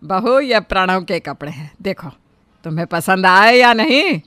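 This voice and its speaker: A woman voicing a bossy, sharp-tongued older mother-in-law who scolds and commands her family. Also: medium pitch, expressive, measured pace, no echo. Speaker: voice actor. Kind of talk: Hindi storybook drama acting.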